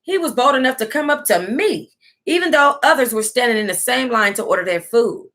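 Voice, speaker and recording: Female, American, higher-pitched. Book club livestream host, casual animated read-aloud with blunt, salty reactions. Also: brisk.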